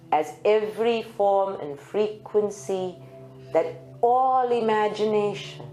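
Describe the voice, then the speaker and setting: A woman leading a guided spiritual meditation in slow, deliberate phrases with long pauses. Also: mid-range voice, warm tone. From South Africa. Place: group meditation room at a centre. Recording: live roomy recording with faint music. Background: faint music.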